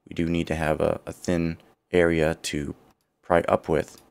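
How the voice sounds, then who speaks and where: A man with a partly lost, rough voice walks through a computer design tutorial, thinking aloud and correcting himself as he goes. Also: a bass voice, little pitch movement, measured pace, a little mellow. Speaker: CAD tutorial instructor. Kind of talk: screen-recorded software walkthrough.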